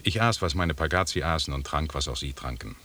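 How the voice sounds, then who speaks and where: Ein Schauspieler spielt in einem Hörspiel einen selbstsicheren, pompösen englischen Expeditionsleiter, förmlich und belehrend. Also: male voice, extremely low pitch, a little fast, bright, forceful tone, full character voice. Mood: grandly formal. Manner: pompous, lecturing. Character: self-assured, pompous English expedition leader, a knighted gentleman. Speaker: actor in a radio drama. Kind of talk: formal radio drama dialogue.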